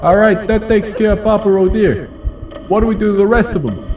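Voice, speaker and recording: A man playing a brutal, loud criminal enforcer in a sci-fi audio drama. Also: sits high, fairly quick, a crowd in the background, lightly acted. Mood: threatening. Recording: muffled, distant, music and throbbing hum.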